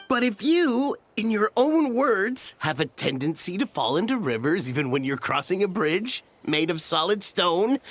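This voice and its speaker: Courteous, gravelly safety organizer. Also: male voice, sits high, fairly bright tone, heavily acted. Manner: courteous, formal, apologetic. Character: polite, careful male resort safety organizer. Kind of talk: video game voice acting.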